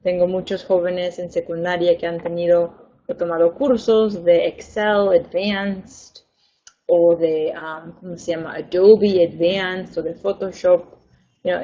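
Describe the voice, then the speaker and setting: Mellow-voiced woman advisor explaining. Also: fairly low pitch, muffled recording. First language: Spanish. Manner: clear, patient explaining with fillers and repeated emphasis. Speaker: education advisor. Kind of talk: webinar lesson.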